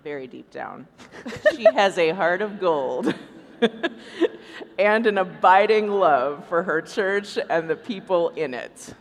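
A woman's voice: lowish voice, sing-song, slow pace. A woman hosting a live comic stage show, introducing material to a convention hall. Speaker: podcast host on stage. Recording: live hall with long reverb.

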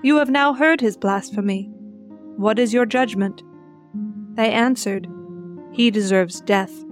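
A woman's voice: medium pitch, unhurried. A woman narrating, giving a dramatic reading from the Bible. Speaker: scripture narrator. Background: plucked guitar music.

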